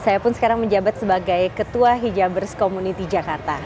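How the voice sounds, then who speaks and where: A twenty-nine-year-old woman speaking in a measured, earnest way in an interview. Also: mid-range voice, noisy background. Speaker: interviewee, TV presenter and PR officer. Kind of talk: personal testimony interview.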